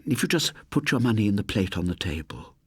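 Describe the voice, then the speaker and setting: A man voicing a timid, genteel elderly English landlady who speaks in a halting, stammering way. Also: on the low side, very animated, a bit bright, breathy edge, dry recording, heavily acted. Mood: flustered, hesitant.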